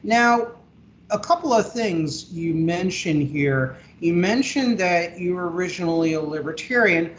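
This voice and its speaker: A man hosting an interview, asking long questions in a measured way. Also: sits high, lively intonation, a bit bright, muffled recording, a little hiss or hum. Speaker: host.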